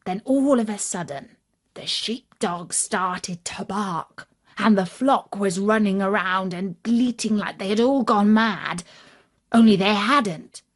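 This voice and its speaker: A woman with a British accent narrates a children's story. She takes her time over the words in an expressive, measured way, at an ordinary medium pitch.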